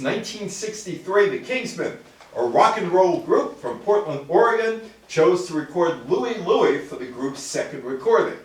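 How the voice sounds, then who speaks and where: A man's voice: on the high side, slight background noise. An older man with an American accent giving a conversational public talk, reading from notes and quizzing his listeners with asides. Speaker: lecturer. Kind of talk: public talk to a live audience, part read from notes, with questions to listeners. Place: indoor room with live audience. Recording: very echoey room, distant microphone.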